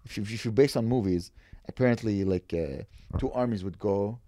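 A man's voice: lowish voice, normal speed, warm tone, no echo, studio quality. A man co-hosting a comedy podcast in casual chat, riffing freely, swearing and acting out little quotes.

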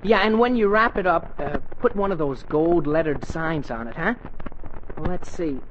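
A man's voice: on the high side, animated, moderate pace, fairly bright tone. A man with an American accent playing an eager, dithering teenage boy, earnest and exclamatory, in a 1940s radio comedy. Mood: delighted. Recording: noisy muffled 1940s radio broadcast.

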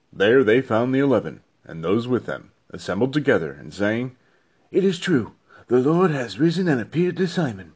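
A man narrating a Bible reading in a measured, solemn way with deliberate phrasing. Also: lowish voice. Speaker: scripture reader.

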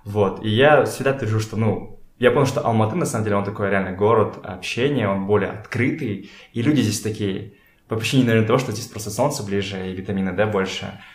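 A young man chatting casually as an interview guest, informal and halting as he searches for words. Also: on the low side. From Kazakhstan. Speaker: podcast guest, photographer and art director. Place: indoors in a home. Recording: echoey room.

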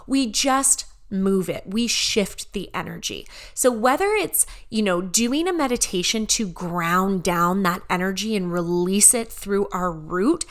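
A woman's voice: medium pitch, lively intonation, measured pace, few pauses. A woman hosting a solo personal-growth talk, bubbly and enthusiastic, often checking in with "right?". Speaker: host.

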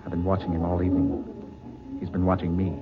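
An actor playing a wealthy man with a guilty secret in a 1940s radio drama, theatrical and given to dramatic pauses. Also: deep voice, completely flat delivery, lightly acted. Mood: uneasy. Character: wealthy suave man haunted by guilty dread. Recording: dull old radio recording, piano music behind.

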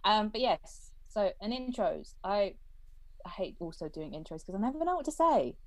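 A woman's voice: medium pitch, big pitch swings, normal speed, no echo, rough recording. A young British woman hosting a podcast over a video call, chatting casually, laughing often and acting out quoted lines. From Britain. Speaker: podcast host.

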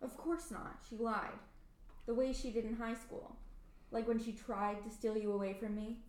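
A woman voicing a sharp, ambitious middle-aged wife in an amateur radio play reading, with clipped, insistent delivery. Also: fairly high pitch, fairly even intonation, normal speed, lightly acted. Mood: cutting. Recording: echoey room.

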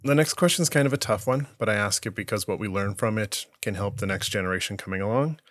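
A man's voice: on the low side, fast talker, fairly bright tone, no echo, studio quality. A man hosting a podcast, asking interview questions and reacting with short encouraging words. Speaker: podcast host.

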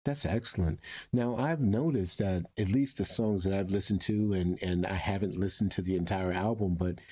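Deep, dark-voiced older male interviewer. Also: moderate pace. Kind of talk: casual conversational interviewing.

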